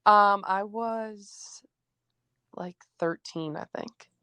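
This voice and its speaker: A teenage girl from New Jersey, a high school basketball player, answering interview questions casually with many fillers; her first language was Greek. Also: extremely slow, pushed and bright, clear, resonant tone, no echo. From New Jersey, USA. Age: teens.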